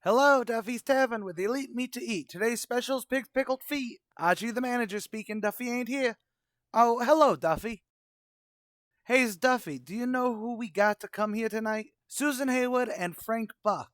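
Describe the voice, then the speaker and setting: A man's voice: extremely high pitch, normal speed, a bit bright, no room sound, studio quality. A man playing a brash, slangy, bluffing tavern manager who wisecracks in an old-time radio comedy. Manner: wisecracking, mock-grandiose.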